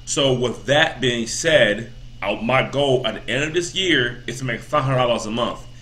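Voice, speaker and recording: Rambling man in his thirties, bright voice. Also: mid-range voice, normal speed. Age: 30s. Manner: rambling, repeats himself, many "you know" fillers. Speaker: vlogger. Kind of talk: casual motivational talk to camera.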